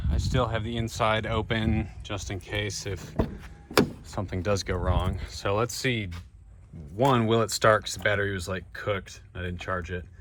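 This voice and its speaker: A man's voice: lowish voice, a bit bright. A man talking casually and informally to camera as he hosts a hands-on car repair vlog, with a laid-back way of speaking. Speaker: vlog host doing DIY car repair. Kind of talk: casual narration to camera while working. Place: in and around a vehicle. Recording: noisy car and engine ambience. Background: car and ambient noise.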